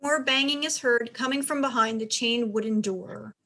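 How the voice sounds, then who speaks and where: Woman narrator, scripted reading, stage directions, fairly high voice. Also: moderate pace, very noisy.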